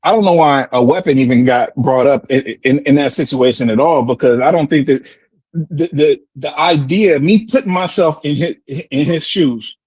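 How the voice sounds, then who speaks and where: An American man in a casual debate, explaining in an animated way, repeating words and often checking with "right?". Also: fairly high pitch, normal speed, husky, no echo, lo-fi sound. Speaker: discussion participant answering questions.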